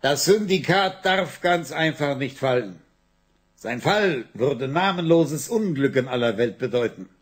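A measured, persuasive financier. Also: male voice, medium pitch, lively intonation, slightly rough. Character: composed male financier addressing creditors.

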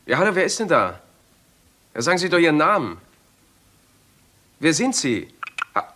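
An actor playing an eager police inspector in a German crime radio play, brisk, direct and full of questions. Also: male voice, on the high side, sing-song, pushed and bright. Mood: urgent, questioning. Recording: faint noise and clicks, older radio play.

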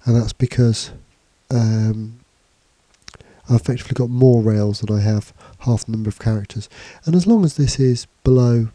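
Male tutor, stuffy from a cold, very dark and husky tone, relaxed and halting delivery, dry close sound. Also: mid-range voice, no echo. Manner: casual, hesitant with fillers and restarts. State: has a cold, bunged up. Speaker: computing teacher. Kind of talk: screencast tutorial. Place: indoors, voice-over on slides and screen recording.

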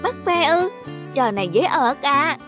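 An eager, curious little schoolboy in a cartoon folk tale, played by a woman. The voice is high and childlike, with children's music clearly behind it. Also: lively intonation, unhurried. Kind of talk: scripted cartoon dubbing.

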